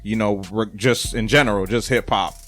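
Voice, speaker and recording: A man's voice: fairly low pitch, fairly even intonation, moderate pace, fairly bright tone, soft background music. A man with an American accent hosting a podcast in casual, slangy talk, repeating words for emphasis. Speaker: podcast host. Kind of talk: casual chat.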